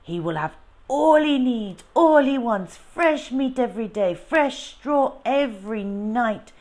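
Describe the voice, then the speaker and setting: Storybook role: boastful, warm-hearted French showman, male, played by a woman, grand and unhurried in delivery. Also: a little high, expressive, pitched above the performer's own voice. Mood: delighted, grand. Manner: grand, effusive, emphatic declarations. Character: warm, boastful, earnest French circus-owner man. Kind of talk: children's storybook character voice.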